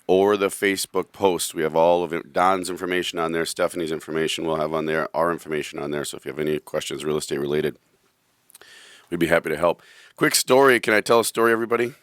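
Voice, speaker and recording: A hoarse male radio host, telling a rambling, self-deprecating story in casual studio talk. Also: low voice, fairly bright tone, no echo. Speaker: radio show host, real estate agent.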